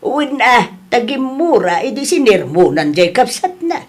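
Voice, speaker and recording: A woman's voice: on the high side, sing-song, normal speed. A woman acting a worried, reproachful middle-aged mother with a Filipino accent in a family audio drama. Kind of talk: Ilocano radio-style family drama acting.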